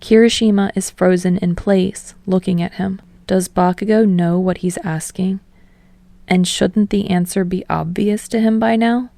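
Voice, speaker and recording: A woman's voice: medium pitch, fairly even intonation, unhurried, gravelly, mellow, dry recording. A woman reading a story aloud as scripted audiobook narration. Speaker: audiobook reader of fan fiction.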